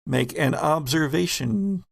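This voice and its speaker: Slow-paced man running a horror game. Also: fairly high pitch, vocal fry. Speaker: game master. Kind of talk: narrating a tabletop horror roleplaying game.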